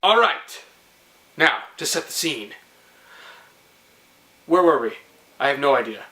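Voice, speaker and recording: Bright-voiced young man telling stories casually. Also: fairly high pitch, expressive, slow. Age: young adult. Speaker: host of a storytelling vlog. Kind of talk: casual comedic storytelling.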